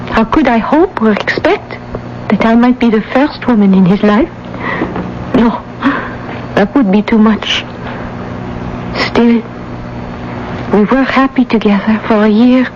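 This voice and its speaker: A lovelorn young heroine speaks high and slowly with halting, wistful drama. It is a radio play with dulled sound. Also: female voice. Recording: muffled, faintly noisy radio recording.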